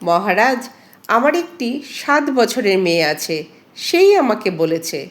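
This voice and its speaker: A woman's voice: mid-range voice, sing-song, measured pace. A woman voicing a poor, meek peasant father who laments sorrowfully in a folk tale. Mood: humble.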